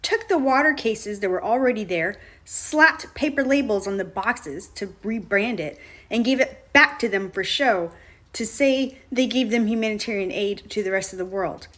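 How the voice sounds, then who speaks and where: A woman's voice: mid-range voice, moderate pace, fairly bright tone. A woman giving social media commentary in a firm, emphatic manner.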